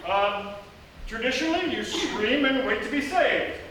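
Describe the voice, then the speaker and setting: A man's voice: extremely high pitch, lively intonation, unhurried, pushed and bright, exaggerated voice. A man playing a wry, melodramatic narrator in a live radio comedy, breaking into offhand asides. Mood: offhand explaining. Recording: echoey live theatre, distant mic, noisy.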